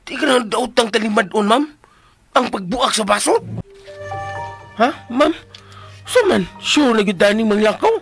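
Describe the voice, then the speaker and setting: A man's voice: high-pitched, unhurried, long pauses, a bit bright, soft background music. A man playing a cheeky, bumbling family driver in a Cebuano Filipino radio drama, jokey and eager to please. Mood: chatty. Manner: jokey, prattling, deferential.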